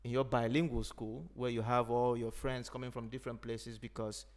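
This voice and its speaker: A man from Nigeria, an Igbo speaker, talking in a thoughtful, unhurried way as a podcast host. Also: medium pitch, flat delivery. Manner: thoughtful, unhurried, frequent "you know". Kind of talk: reflective conversation.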